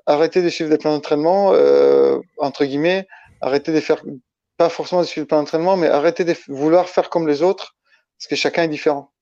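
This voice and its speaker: Man with creaky, mellow voice, roomy sound. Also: a little high. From Italy. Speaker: interview guest, ultra-trail runner. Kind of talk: casual interview conversation. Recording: dull lo-fi, roomy echo.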